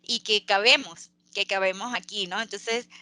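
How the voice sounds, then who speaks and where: A Venezuelan woman speaking earnestly as an interview guest, pausing and restarting often. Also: mid-range voice, fairly even intonation, pushed and bright, no echo. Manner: earnest, halting with many restarts and fillers. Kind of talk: interview over a call.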